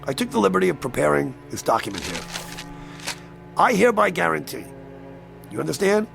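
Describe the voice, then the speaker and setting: Gleeful, creaky old devil. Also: male voice, a little high, big pitch swings, brisk, full character voice. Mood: sly persuasion. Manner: wheedling, mock-polite, theatrical chuckling. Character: sly, gleeful devil posing as a crazy old man. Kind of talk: radio drama acting. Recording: music under the voice.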